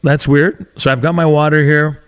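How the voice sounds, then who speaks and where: Chatty podcast host with a cracking voice. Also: male voice, a little high, steady tone, moderate pace. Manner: chatty and candid, voice keeps cracking. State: voice cracking, losing his voice. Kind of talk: casual solo podcast talk.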